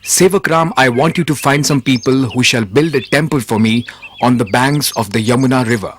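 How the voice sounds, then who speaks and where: A man acting a wise, steadfast royal minister in a respectful, earnest manner for an animated moral tale. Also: medium pitch, brisk, a little hiss or hum, close to an everyday voice. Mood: brisk, instructing.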